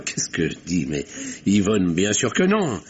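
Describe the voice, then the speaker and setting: An actor playing a flustered, evasive murder suspect, stammering and exclaiming theatrically in a vintage French radio mystery. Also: male voice, low-pitched, wildly swooping pitch, slow pace, a little hiss or hum, exaggerated voice. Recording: muffled vintage radio recording.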